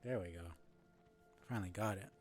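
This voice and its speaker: A man's voice: lowish voice, a little fast, faint music behind. A man giving casual live commentary as he plays a game, with muttered, offhand reactions. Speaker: game streamer.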